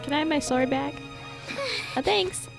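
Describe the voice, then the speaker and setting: A woman's voice: high voice, normal speed, vocal fry. A woman giving casual, joking, exclamation-filled commentary as she plays a game. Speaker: gaming streamer. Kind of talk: casual reactive gameplay commentary. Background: game music.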